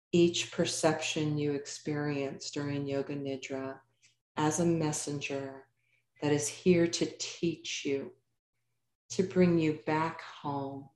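Female meditation teacher, mellow and calm, measured phrasing with pauses, roomy echoing sound. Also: deep voice, slight background noise. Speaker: yoga nidra meditation teacher. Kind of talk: guided meditation narration.

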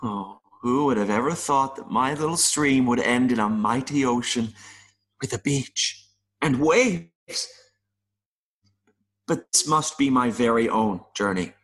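A man playing a gentle, wistful mayfly in an audio play, speaking in an earnest, philosophical way with small hesitations. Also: medium pitch, measured pace, natural voice. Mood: tender regret. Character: gentle, wistful mayfly longing to see the world.

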